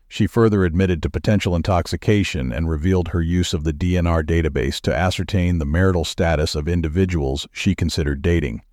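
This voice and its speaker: A man reading news stories as a podcast producer, with a measured, even newsreader delivery. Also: low-pitched, dry recording, clean studio sound.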